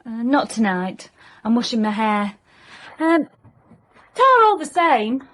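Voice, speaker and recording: A detective's tart assistant in a radio comedy. Her high voice, and she answers in short, dry, sarcastic lines. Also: sing-song, slow, fairly bright tone, heavily acted. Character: tart, unimpressed private eye's secretary. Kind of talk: comic radio drama acting.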